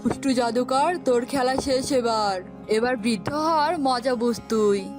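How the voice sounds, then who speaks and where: Woman as a high-voiced cunning eagle king. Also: a little slow, music in the background, close to an everyday voice. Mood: triumphant.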